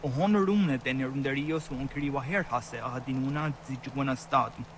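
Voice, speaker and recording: An actor playing a solemn, earnest villager in a historical video game, speaking in measured dramatic dialogue. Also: male voice, medium pitch, slight background noise, lightly acted. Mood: earnest, insistent.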